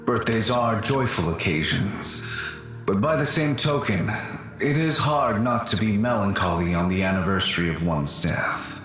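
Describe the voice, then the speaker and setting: A weary ghostly warrior, echoing. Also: male voice, fairly low pitch, lively intonation, a bit bright, soft background music. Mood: melancholy. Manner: slow, sorrowful and formal, sighs often. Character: weary melancholy ghost of an ancient warrior guardian, male. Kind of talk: video game voice acting. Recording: heavy echo on the voice.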